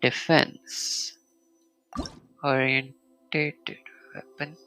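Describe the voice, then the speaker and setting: A man casually commentating a solo game live stream, muttering to himself and reading item text aloud in a halting way. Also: medium pitch, little pitch movement, pushed and bright, clean tone, faint music behind, low-quality recording.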